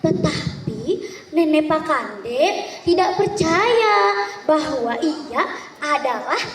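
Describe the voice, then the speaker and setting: Woman, theatrical folk-tale narration, deliberate dramatic pauses, far from the microphone, long hall reverb. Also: sits high, expressive. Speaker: storytelling contestant. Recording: echoey hall, distant microphone.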